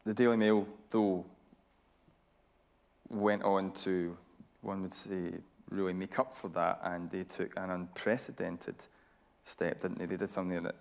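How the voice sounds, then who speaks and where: A man hosting a journalistic interview on stage, deliberate and pausing mid-phrase to stress his points. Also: low-pitched, fairly even intonation, creaky. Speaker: interviewer and host. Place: radio theatre stage.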